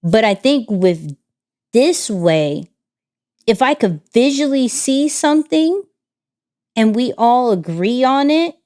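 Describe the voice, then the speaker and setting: A young adult woman co-hosting a podcast in casual chat, animated, with her voice jumping high when she gets excited. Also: slow. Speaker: podcast co-host.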